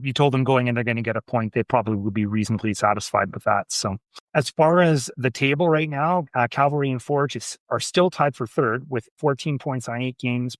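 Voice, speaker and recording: A man's voice: medium pitch, no echo. A man hosting a casual soccer podcast, chatty and friendly as he recaps the weekend's matches. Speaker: podcast host. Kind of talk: casual sports chat.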